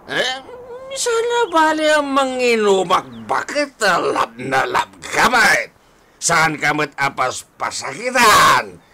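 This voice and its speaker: Slurring drunken old Filipino husband. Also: male voice, sits high, wildly swooping pitch, takes it slowly, pushed and bright, full character voice. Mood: drunken, playful. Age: older. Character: drunken, stubborn old husband and father, playful. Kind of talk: Filipino radio comedy drama acting.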